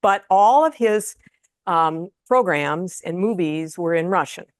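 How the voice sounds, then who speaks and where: A woman's voice: on the low side, animated, takes it slowly, dry recording, studio quality. Retired older woman giving a lecture. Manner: conversational, frequent fillers and restarts. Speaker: guest lecturer. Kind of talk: casual lecture to an audience. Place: lecture room.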